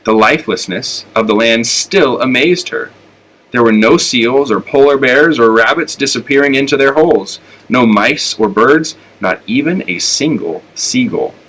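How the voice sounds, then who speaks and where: Male storybook reader, American accent, bright and clear-edged tone, telling a children's tale. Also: medium pitch, moderate pace, low-quality recording. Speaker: elementary school teacher reading aloud.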